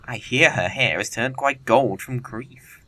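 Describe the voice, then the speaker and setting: A man playing a witty, flippant young gentleman bachelor in an audio comedy of manners, glib and theatrical in formal speech. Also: mid-range voice, a little slow, slight background noise. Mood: feigned politeness.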